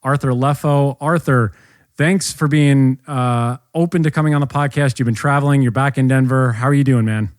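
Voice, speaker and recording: A man's voice: mid-range voice, steady tone, normal speed, no echo, studio quality. A man hosting a podcast in a casual, conversational style. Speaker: podcast host.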